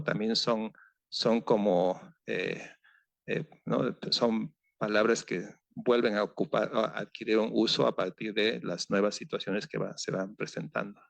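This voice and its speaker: A man with a fairly low voice speaks at a literary panel, picking his way through ideas with pauses, fillers and restarts. The sound is close and dry. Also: vocal fry, no room sound. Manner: halting, thinking aloud with many fillers and restarts. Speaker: novelist on a seminar panel. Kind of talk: panel discussion.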